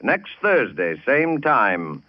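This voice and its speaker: A man's voice: mid-range voice, huge pitch swings, slow, no room sound. A man announcing a radio show in a formal 1940s style. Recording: dull old radio recording, loud music behind.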